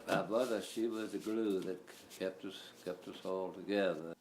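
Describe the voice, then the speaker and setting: A man's voice: deep voice, fairly even intonation, normal speed, darkish tone, slight background noise. A man in his seventies, answering interview questions with wry humor. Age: 70s.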